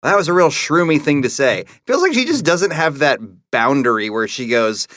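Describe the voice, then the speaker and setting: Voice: mid-range voice, sing-song, moderate pace, a bit bright, no room sound. Dry-sounding, wry podcast co-host. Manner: wry, irreverent, sweary. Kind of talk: casual banter.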